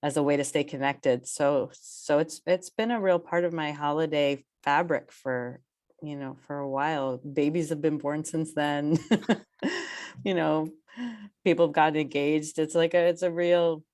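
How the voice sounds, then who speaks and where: Low voiced woman director, casual panel talk, kinda halting, repeats words. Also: fairly even intonation, a little mellow. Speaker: theater director, panel guest.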